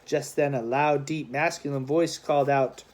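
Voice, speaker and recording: A man reading a children's adventure novel aloud as scripted narration, in a dramatic, expressive storytelling manner. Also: on the high side, moderate pace. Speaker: audiobook narrator, schoolmaster.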